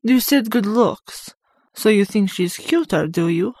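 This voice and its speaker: A man's voice: sits high, lively intonation, unhurried, darkish tone, dry recording, pitched above the performer's own voice, full character voice. Dude doing a catty young sith girl, voice pushed way up, sneery. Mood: snide. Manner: snide, teasing. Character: mocking young female Sith villain.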